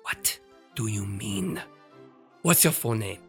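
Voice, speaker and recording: An actor playing a flamboyant, fussy, excitable radio star with emphatic, theatrical delivery in a children's audio drama. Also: mid-range voice, slow, creaky, slightly rough, faint music behind, exaggerated voice. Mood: exasperated, bossy.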